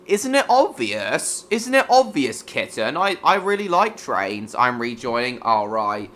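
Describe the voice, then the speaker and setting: A man from Hong Kong hosts a casual gaming live stream, talking to viewers by name in a bright, edgy tone. He rambles and repeats himself as he talks. When the game goes wrong he breaks into swearing. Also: medium pitch, very animated, measured pace, a little hiss or hum. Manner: bursts into swearing at game mishaps.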